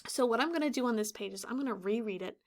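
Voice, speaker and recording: Higher-voiced woman teaching through a read-aloud. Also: animated. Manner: explanatory, deliberate enunciation. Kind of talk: instructional children's read-aloud. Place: small room indoors, classroom or office decor.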